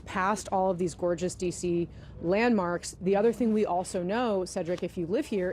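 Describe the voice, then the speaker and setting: A woman with a fairly low voice anchors breaking news live from outdoors. She speaks conversationally as a reporter on the scene, with light ambient rumble of vehicles and helicopters behind her. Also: darkish tone. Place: outdoors by a river in the city, helicopters overhead.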